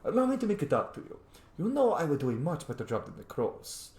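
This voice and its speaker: A man's voice: fairly high pitch, very animated, fast, darkish tone, echoey room. A man voicing a suave, flirtatious assassin in a silky, wheedling way, reading a letter aloud in a game. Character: suave flirtatious male assassin writing to a friend.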